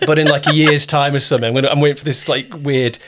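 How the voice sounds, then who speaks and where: A man from Kent with a southern English accent, hosting a conversational interview in a chatty, reassuring way and often pausing to explain things. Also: on the high side, steady tone, noisy background. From Kent, southern England. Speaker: interview host, producer and director.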